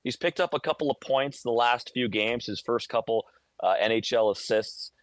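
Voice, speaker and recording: A man's voice: mid-range voice, moderate pace, no echo. An American man working as a radio play-by-play broadcaster, giving detailed, conversational sports analysis live and stammering over word starts.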